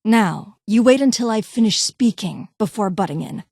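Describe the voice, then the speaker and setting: A woman's voice: medium pitch, lively intonation, normal speed, vocal fry, darkish tone, dry recording, studio quality. A woman voicing a brusque, condescending vault doctor and scientist in a video game, with clipped, formal, haughty delivery. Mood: curt. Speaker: voice actor in a game mod. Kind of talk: scripted game character dialogue.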